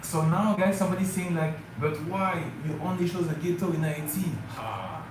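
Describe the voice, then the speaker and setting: A man's voice: on the high side, normal speed. A man with a French accent, a native French speaker, chatting casually with viewers on a travel vlog livestream, hesitant and full of fillers. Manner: hesitant, many fillers, addresses viewers as guys. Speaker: travel vlogger. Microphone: phone or camera mic, live stream. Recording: very echoey room with clear background noise.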